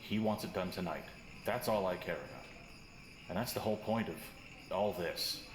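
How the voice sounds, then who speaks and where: An actor playing an impatient, menacing conspirator who murmurs terse orders in an audio drama. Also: male voice, deep voice, normal speed, natural voice. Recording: echoey, quiet, noisy with insect chirps.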